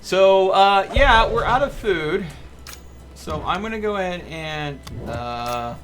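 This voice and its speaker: A man's voice: sits high, expressive, takes it slowly. A man doing live gameplay commentary as a streamer, chatting to his viewers and muttering to himself as he plays. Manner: talks to chat and mutters to himself. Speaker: game streamer.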